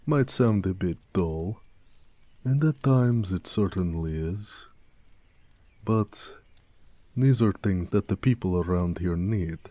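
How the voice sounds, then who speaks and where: A man playing a proud, gentle orc blacksmith in an intimate, affectionate audio roleplay, speaking tenderly and modestly. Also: dry recording. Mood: warm, reflective. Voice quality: deep, mellow.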